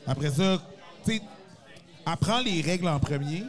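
Laid-back Québécois man, slightly high voice. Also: animated, normal speed. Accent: Quebec French. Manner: laid-back, frequent filler "tu sais". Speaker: comedian guest. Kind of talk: casual podcast chat.

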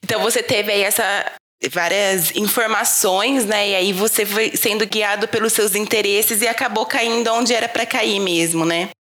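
A woman's voice: medium pitch, fairly even intonation, normal speed, a bit bright. A woman hosting an interview podcast, listening attentively, offering short acknowledgements and asking guiding questions. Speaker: podcast host.

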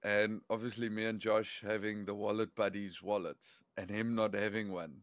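A man hosting a podcast, his voice fairly low and recorded dry and close. He teases his guest and swears freely in relaxed chat. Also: normal speed, no room sound. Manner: swearing, teasing. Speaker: podcast host. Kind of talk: casual chat.

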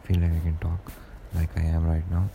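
A young man with a deep voice, rambling through a casual solo podcast monologue with lots of hesitations. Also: completely flat delivery, moderate pace, gravelly, warm tone, a little hiss or hum. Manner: rambling and hesitant, many fillers and restarts. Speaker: podcast host.

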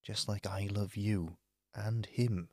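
A protective elder prince played by a man from a fantasy audio drama. His voice is very deep and solemn, with a gentle, reassuring manner. The recording is dry, free of room echo. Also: fairly even intonation, slow, slightly rough. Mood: tender, reassuring.

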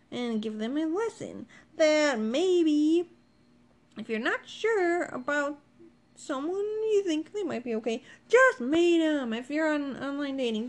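A woman from Ohio co-hosting a casual podcast about her relationship, chatty and a bit defensive. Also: high-pitched. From Ohio, USA. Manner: chatty, defensive, laughs often. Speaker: podcast co-host. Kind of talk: casual couple chat.

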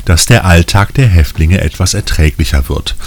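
A man's voice: sits low, lively intonation, moderate pace. A man hosting a film news podcast, reading scripted previews of new releases. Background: faint music.

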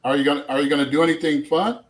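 A man's voice: fairly high pitch, steady tone, very fast. A middle-aged man co-hosting a casual live-stream chat, upbeat and prone to repeating words. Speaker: co-host.

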